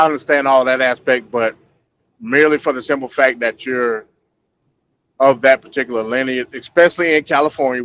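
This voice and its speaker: A man's voice: mid-range voice, a bit bright, a little hiss or hum, low-quality recording. A man hosting a live call-in debate stream, pressing his points in a probing way and often repeating words as he goes. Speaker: host.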